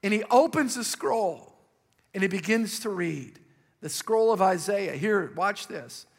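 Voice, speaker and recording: Raspy-voiced male pastor preaching in a hall. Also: high voice, expressive, measured pace. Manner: preaching with rising emphatic calls and questions to the congregation. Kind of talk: sermon.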